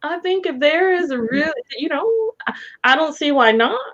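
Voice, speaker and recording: A woman co-hosting a casual chat in a measured, encouraging way, on slightly dull audio. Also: high voice, big pitch swings, fairly bright tone. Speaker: co-host. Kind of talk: casual chat promoting a reading event.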